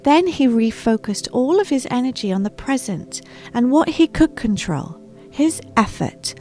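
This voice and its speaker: A woman in her thirties with a fairly high voice reads a children's picture book as a storytime host. Her narration is expressive and recorded close to the mic. Music plays behind her. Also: a little slow. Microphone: close microphone on a boom arm.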